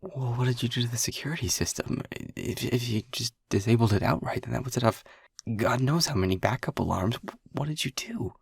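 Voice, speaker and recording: A man voices an anxious young lab technician in an audio roleplay, stammering through hushed, breathy whispers. His voice is gravelly and very husky, recorded close and dry with no room sound. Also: medium pitch, a little fast, dry recording. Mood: alarmed.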